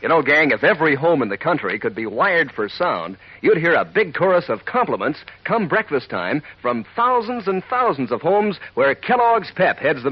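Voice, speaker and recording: A man's voice: on the high side, husky. A man delivering an enthusiastic, folksy 1940s radio commercial as an announcer. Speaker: advertising announcer. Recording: dull old radio recording.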